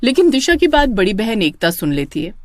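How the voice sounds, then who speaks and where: A woman's voice: medium pitch, sing-song, brisk, no echo, clean studio sound. A woman narrating a scripted story as storyteller.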